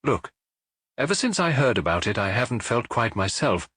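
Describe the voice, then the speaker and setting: A man's voice: mid-range voice, big pitch swings, moderate pace, fairly bright tone, husky, studio quality. A man voicing a chatty, knowing dance-hall proprietor with a familiar, confiding manner in an audiobook. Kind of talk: audiobook character dialogue.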